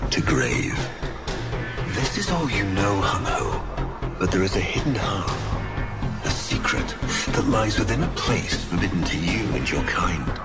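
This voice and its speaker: Deep, haughty sci-fi war leader. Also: male voice, creaky, exaggerated voice. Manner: deliberate, grandiose, theatrical pauses. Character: haughty, vengeful male war leader making a grim confession. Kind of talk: dramatic villain monologue in a sci-fi game. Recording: loud music behind the voice.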